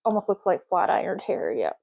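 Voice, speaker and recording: A woman doing a soft-spoken ASMR roleplay, talking slowly and casually and often repeating words in a deliberate way. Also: lowish voice, wildly swooping pitch, vocal fry, lo-fi sound.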